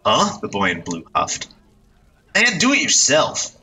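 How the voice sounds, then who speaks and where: A man's voice: mid-range voice, huge pitch swings, measured pace, pushed and bright, a little hiss or hum, voice pushed up, exaggerated voice. A man voicing a sulky young male delinquent in blue who whines in slang. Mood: huffy. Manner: huffy, whiny slang.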